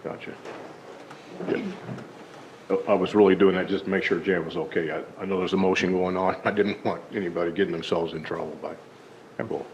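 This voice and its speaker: A man who serves on the council speaks politely, pausing with fillers. His voice is very deep, and the mic sound is dull. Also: fairly even intonation, slight background noise. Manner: polite, hesitant, uses fillers. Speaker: council member. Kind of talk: meeting discussion.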